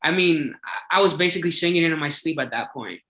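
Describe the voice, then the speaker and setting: A man's voice: on the high side, fast, pushed and bright. A young man, a singing contestant, answering interview questions in an eager, chatty way with lots of filler words. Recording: echoey room.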